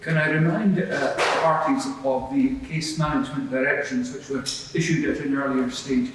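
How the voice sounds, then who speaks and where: A man's voice: medium pitch, fairly even intonation, moderate pace, lightly acted. Man playing a formal judge, very echoey. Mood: official. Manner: official, procedural, instructing. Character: formal, brisk, authoritative male judge on the bench. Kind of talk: formal courtroom procedure. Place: large echoing courtroom. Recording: very echoey hall, distant, noisy.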